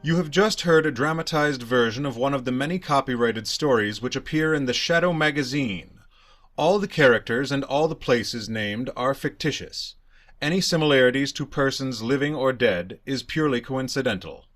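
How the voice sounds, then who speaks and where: A man's voice: medium pitch, moderate pace, a bit bright. A man as a radio announcer, reading a script formally and emphatically in 1930s broadcast style. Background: music.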